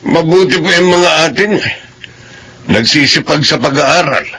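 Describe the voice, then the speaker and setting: An actor playing a disapproving older relative with a gravelly voice in a radio drama. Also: male voice, a little high, normal speed, sharp tone, heavily acted, low-quality recording. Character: older brother figure, disapproving uncle.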